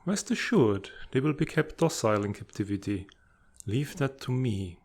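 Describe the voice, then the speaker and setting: A man's voice: mid-range voice, lively intonation, normal speed, darkish tone, slightly rough. A man playing a sinister, coolly menacing villain who speaks formally and theatrically in a comic fandub. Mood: smug, assured. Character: sinister scheming male villain, coolly menacing and self-assured. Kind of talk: dramatic comic fandub acting.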